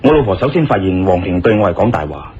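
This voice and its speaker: Measured, thoughtful male investigator. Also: deep voice, very animated, slight background noise. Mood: puzzled, thoughtful. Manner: measured, reasoning. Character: thoughtful male investigator, calm, questioning husband. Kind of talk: Cantonese radio drama acting. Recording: dull lo-fi radio recording, music behind.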